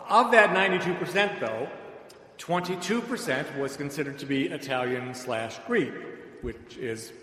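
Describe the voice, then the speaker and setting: A man's voice: on the high side, lively intonation, moderate pace, bright, forceful tone. A middle-aged American man preaching in a conversational, dryly humorous way, telling personal anecdotes. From suburbs of Chicago, United States. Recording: echoey hall, long reverb, background noise.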